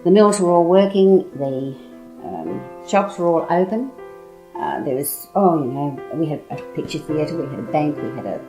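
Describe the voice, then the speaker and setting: An older woman from Sydney with an Australian accent, reminiscing in an articulate, measured way. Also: sits low, big pitch swings, over music, low-quality recording. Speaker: interviewee, local resident. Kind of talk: casual reminiscing.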